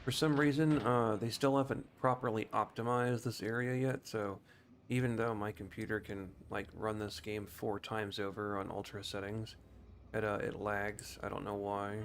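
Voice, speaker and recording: A man's voice: lowish voice, steady tone, moderate pace, slight background noise. A man giving casual video game commentary as a streamer, rambling and musing to himself.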